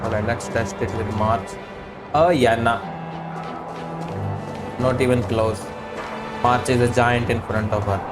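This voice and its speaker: Very low-pitched woman streaming a game. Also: steady tone, unhurried, pauses often. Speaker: livestreamer. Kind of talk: casual live game reaction. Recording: loud game music behind.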